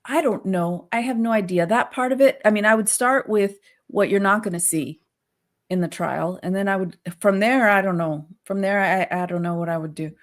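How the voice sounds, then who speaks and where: A woman's voice: mid-range voice, moderate pace. A woman co-hosting a casual legal discussion, thinking aloud in a tentative way. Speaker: co-host discussing law.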